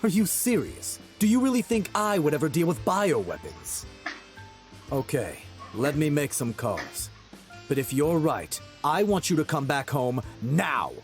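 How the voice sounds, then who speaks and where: A man's voice: a little high, sing-song, unhurried, faint music behind. Stern crime-boss father, swinging intonation. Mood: guarded, commanding. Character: stern protective crime-family father, middle-aged man. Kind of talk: visual novel voice acting.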